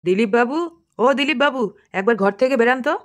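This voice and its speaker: A man's voice: very high-pitched, fast, background noise. A man voicing a hot-tempered, scolding, foul-mouthed village father in a comic cartoon. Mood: blustering. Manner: scolding, blustering. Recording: music clearly behind the voice.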